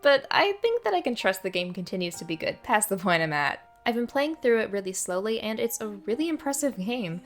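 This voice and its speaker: A woman's voice: mid-range voice, big pitch swings, a little fast, hardly any pauses. A woman giving casual review commentary as a video host, chatty, opinionated and self-deprecating. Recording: voice-over with clear background music. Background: music.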